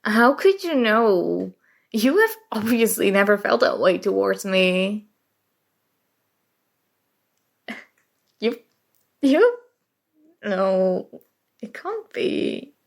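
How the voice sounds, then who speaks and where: A woman's voice: medium pitch, expressive, takes it slowly, vocal fry, pauses often. A woman acting as a nerdy, flustered college student in an intimate ASMR roleplay, speaking hesitantly and emotionally with stammers. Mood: stunned disbelief. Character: nerdy, flustered young female college student confessing a crush.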